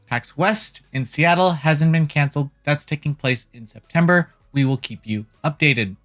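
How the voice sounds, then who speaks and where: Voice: mid-range voice, fairly bright tone. A host giving a casual, opinionated video game news rundown with informal, joking asides. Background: background music.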